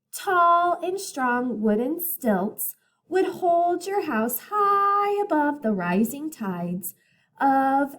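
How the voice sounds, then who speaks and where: A woman reading a picture book aloud as a teacher to children, in a deliberate, expressive storytelling manner. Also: sits high.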